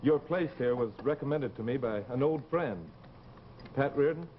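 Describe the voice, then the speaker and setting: A man's voice: mid-range voice, moderate pace, close to an everyday voice. A man in his thirties playing a polite, earnest young man carefully probing for information in a vintage television drama, speaking hesitantly. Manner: hesitant, courteous, many uhs. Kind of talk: 1950s television drama acting. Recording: dull, noisy old TV soundtrack.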